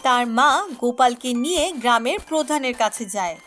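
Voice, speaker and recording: A woman narrating a cartoon story in a clear, storytelling manner. Her voice is high. Music plays clearly underneath. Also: normal speed, fairly bright tone.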